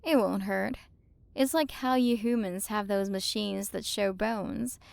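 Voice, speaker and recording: Woman acting a soft, creaky, haughty alien queen. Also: medium pitch, unhurried, dry recording. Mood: condescending, curious. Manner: soft, condescending, teasing, at times whispered. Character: haughty, curious alien galaxy queen, possessive of her human. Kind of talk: ASMR roleplay.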